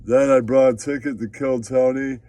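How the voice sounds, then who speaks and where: A man's voice: mid-range voice, fairly even intonation, normal speed, dark tone, no echo. A man performing a crude, improvised puppet-show monologue, rambling and repeating catchphrases.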